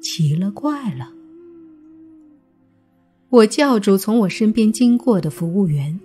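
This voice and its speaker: A woman narrating a scripted suspense audiobook in a measured, deliberate storytelling manner. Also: medium pitch, very animated, mellow. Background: background music.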